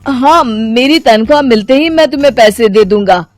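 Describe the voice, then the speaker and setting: A woman playing a harried husband and son who placates and reassures everyone in an animated moral story. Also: fairly high pitch, moderate pace, faint music behind, lightly acted. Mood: apologetic. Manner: conciliatory, reassuring.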